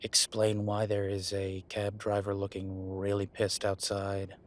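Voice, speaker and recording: A man playing a gruff, sarcastic merman who runs a tattoo shop, speaking in a halting, conversational audio roleplay style. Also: on the low side, monotone, slight background noise, natural voice. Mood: concerned, gruff. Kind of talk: naturalistic one-sided audio roleplay.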